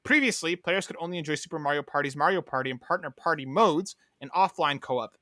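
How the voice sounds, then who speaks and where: A man's voice: a little high, pushed and bright, no room sound, studio quality. A man hosting a casual gaming podcast, chatting in a conversational, opinionated way. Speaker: podcast host.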